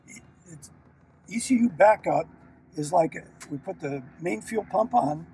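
Gravelly man explaining a cockpit checklist. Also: high-pitched, unhurried, far from the mic, low-quality recording. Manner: relaxed, explanatory, talks while working controls. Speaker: aircraft sales demo pilot. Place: small aircraft cockpit with engine running.

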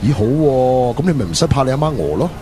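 An actor playing an easygoing, food-loving buddy with a Hong Kong Cantonese accent in a casual radio drama. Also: male voice, medium pitch, sing-song, fast talker, background noise, natural voice.

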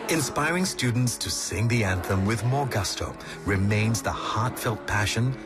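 A man narrating a documentary in a measured, scripted voice. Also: on the low side, expressive. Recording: voice-over with loud music bed.

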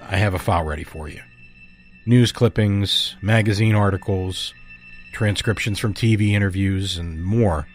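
A laid-back, dependable right-hand man in an audio drama, played by an actor. He speaks in a low voice, with clear music behind him.

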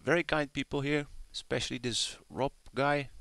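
A man's voice: mid-range voice, fairly even intonation, normal speed, no room sound. A man giving casual, off-the-cuff commentary on a screen recording, in an amused manner and with casual swearing. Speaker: narrator of a screen demonstration. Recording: hissy with loud radio static. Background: shortwave radio static and signals.

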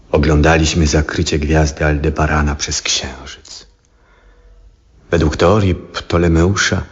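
In a dull vintage radio play recording, an aging astronomer, a brooding man with compassion. His voice is extremely deep, and he delivers his monologue in an earnest, measured, reflective way. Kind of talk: 1970s Polish radio drama monologue.

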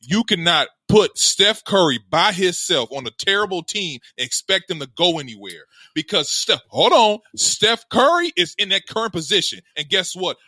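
A man co-hosting a sports podcast, arguing his points loudly and repeating himself to hold the floor. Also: sits high, sing-song, moderate pace, few pauses, no echo. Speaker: podcast co-host. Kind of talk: heated casual sports debate.

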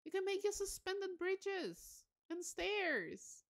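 A woman live-streaming a game, talking casually to her viewers. Her pitch swings widely and expressively as she gushes and exclaims over what she sees. She sounds excitable and informal. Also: slow pace. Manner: excitable, gushing, exclaims often.

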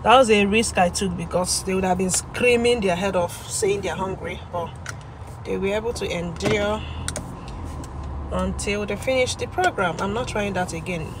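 A woman's voice: medium pitch, normal speed, noisy background. A woman in her thirties with a Nigerian accent, chatting casually in a vlog recorded inside a parked car. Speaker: vlogger and mother.

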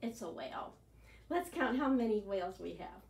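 A woman in her sixties, a children's librarian leading an animated, sing-song storytime from an armchair in a living room. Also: medium pitch, moderate pace, gravelly. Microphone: camera at a distance. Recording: echoey room, far from the mic.

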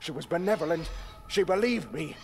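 A man's voice: on the high side, expressive, fairly quick, creaky, slight background noise. An actor playing a bitter, unhinged, guilt-ridden old man in a video game, theatrical and self-pitying. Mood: mournful. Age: older.

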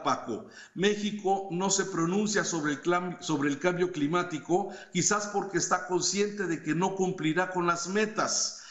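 A middle-aged man reads and comments on newspaper columns, measured and with small hesitations, over a headset on a video call from a home study. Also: on the high side, fairly bright tone, roomy sound, highs cut off. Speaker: news commentator reviewing opinion columns. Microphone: headset mic on a video call.

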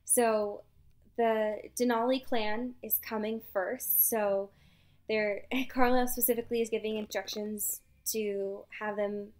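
A woman co-hosting a podcast, casually recapping a story in an animated way and acting out bits of dialogue. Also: mid-range voice, a little slow. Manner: animated retelling, acts out dialogue. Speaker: podcast co-host. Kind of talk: casual chat recapping a novel.

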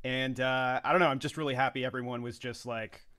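A man with a mid-pitched voice talks casually as co-host of an online table read. His delivery is easygoing and conversational, with pauses between phrases. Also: steady tone, sharp tone. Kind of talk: casual, conversational group chat. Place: indoors on a video call.

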